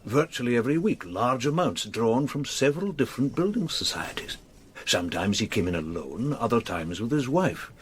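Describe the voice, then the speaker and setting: An actor playing a prim, talkative bank manager in a radio drama, explaining things in a measured, businesslike way. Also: male voice, medium pitch, very animated, slight background noise, natural voice. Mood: earnest, explanatory.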